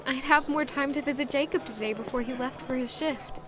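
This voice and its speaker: A woman playing a sweet, lovestruck, put-upon young maid in an audio drama, gentle and expressive as she acts and narrates. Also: sits high, brisk, background noise, lightly acted. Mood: tender, reflective. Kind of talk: audio drama acting with first-person narration.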